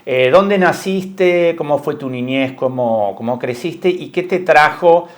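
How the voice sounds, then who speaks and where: A man's voice: on the high side, a little slow. A man hosting an interview podcast and asking questions in a polite, welcoming way. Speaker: podcast host and documentary director.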